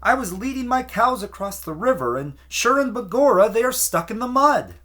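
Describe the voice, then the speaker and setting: A man voicing a tiny bearded fairy man with pointed ears, pleading for help in a high, urgent voice. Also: expressive, normal speed, fairly bright tone. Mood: frantic pleading.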